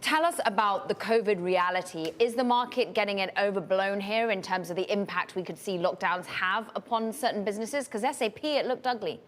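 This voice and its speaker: A woman anchoring a live financial news show, introducing headlines and guests with a brisk, polished delivery. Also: mid-range voice, a little hiss or hum.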